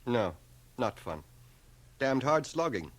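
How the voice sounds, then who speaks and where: An actor playing a dry, ironic schoolmaster of nearly fifty in a radio drama, speaking in a measured, pedantic, sardonic way. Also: male voice, medium pitch, very animated. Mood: guarded, testy. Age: middle-aged. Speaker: actor, narrator and lead. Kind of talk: 1980 radio drama acting. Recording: home cassette transfer of radio broadcast.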